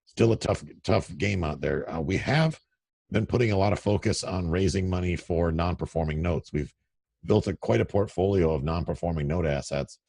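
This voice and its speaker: A middle-aged man with a deep radio voice, a real estate investor telling candid, self-deprecating stories in a casual interview over a call. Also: moderate pace, creaky, darkish tone, no room sound. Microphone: remote call audio.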